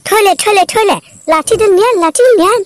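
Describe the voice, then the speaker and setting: A high voice, tagged as a woman's, playing a cheeky, dim young son with childish, garbled consonants in a comic cartoon. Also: very fast, no echo, studio quality. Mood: excited.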